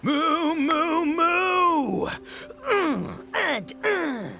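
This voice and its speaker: Casting: boisterous young gang leader for a game, swaggering and bombastic, very animated delivery, faint music underneath. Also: male voice, very high-pitched, very slow, vocal fry, full character voice. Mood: boastful. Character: brash, boisterous young male rock-loving gang boss. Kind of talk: animated video game voice acting.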